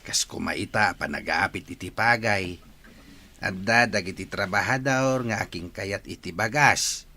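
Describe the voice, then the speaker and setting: A man's voice: low voice, a little slow, bright, forceful tone, heavily acted. A man with a Filipino accent playing a patient, fair-minded middle-aged farmer father in a radio drama. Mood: earnest, advising.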